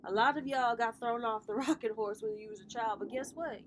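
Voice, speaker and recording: A woman in her thirties with a slightly high voice talks straight to her viewers in a relaxed tarot reading. Her delivery is sassy and playful, full of casual swearing, as she coaches her audience on their spiritual path. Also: fairly quick, slight background noise. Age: 30s.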